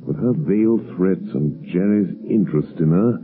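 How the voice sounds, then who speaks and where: An actor playing a suave, watchful crime fighter who turns menacing, in 1930s radio drama style. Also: male voice, deep voice, normal speed, close to an everyday voice. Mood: grave, resolute. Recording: muffled, band-limited 1930s radio recording, faint music.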